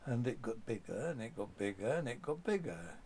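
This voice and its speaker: A man's voice: medium pitch, big pitch swings, fairly quick, darkish tone, slight background noise. An older man reminiscing in an interview, telling rambling, anecdotal stories from his past. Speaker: documentary subject.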